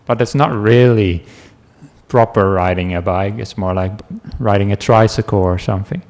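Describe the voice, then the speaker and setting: A man from the Netherlands with a Dutch accent, a meditation teacher giving a relaxed talk to a class, telling stories with asides and small laughs. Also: lowish voice, moderate pace. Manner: gentle, chatty storytelling with asides and small laughs.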